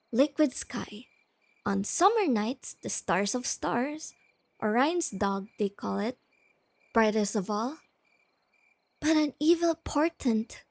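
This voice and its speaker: A woman acts out a shy, bookish young girlfriend in an ASMR roleplay. She is soft-spoken and gentle, with a high, slightly creaky voice, and takes her time as she eagerly explains things. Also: dry recording, exaggerated voice. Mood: reciting softly. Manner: gentle, intimate, enthusiastic about explaining facts.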